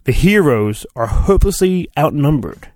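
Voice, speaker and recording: A man with a fairly high, very animated voice reads a storybook in a theatrical, mock-dramatic style. He takes his time and often breaks off into irreverent comments. The recording is dry and close. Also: normal speed, no room sound. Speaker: podcast host. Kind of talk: mock-dramatic storybook reading with crude comic asides.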